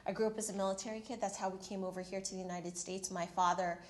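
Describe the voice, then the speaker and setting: A woman's voice: medium pitch, fairly even intonation, quick pace, reverberant. A woman in her thirties from the Philippines, telling her career story on a panel in a candid, self-deprecating way. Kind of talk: panel discussion. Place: university event room.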